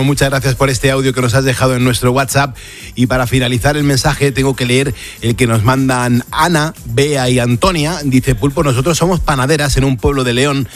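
A man with an accent from Spain hosting a radio show, reading listener messages in an effusive, affectionate manner. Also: medium pitch. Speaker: radio host.

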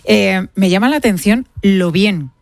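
A woman's voice: medium pitch, a little slow, gravelly, no echo, studio quality. A woman from hosting live radio commentary, chatty with hesitant fillers. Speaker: radio host. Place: stadium broadcast position.

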